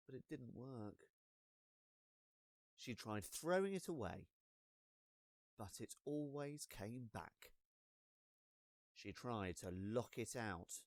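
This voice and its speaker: A man with a fairly low voice tells a children's story in a dry, close recording. He reads very slowly and expressively, drawing out words and leaving dramatic pauses for young listeners. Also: no echo.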